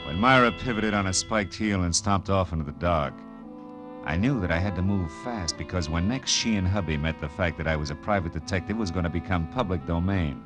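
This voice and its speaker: An actor playing a tough, wry private detective, terse and dry, in a 1940s noir radio drama. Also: male voice, low-pitched, brisk, lightly acted. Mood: hard-boiled narrating. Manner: terse, hard-boiled, dry. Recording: old radio broadcast with music behind.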